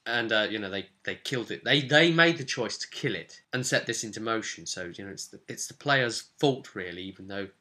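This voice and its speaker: A man with a low, forceful, bright-edged voice gives an informal recap as a game master. He tells it as a story, animatedly slipping in short quoted lines as he goes. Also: lively intonation, a little fast, few pauses. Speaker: game master recapping a tabletop role-playing session.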